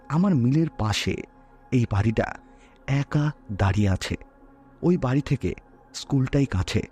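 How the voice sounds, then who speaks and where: A man telling a scripted horror story in a dramatic, suspenseful way with deliberate pauses, as a storyteller. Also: mid-range voice, dark tone, slightly rough. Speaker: storyteller on a ghost story show. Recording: music bed under the voice. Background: music.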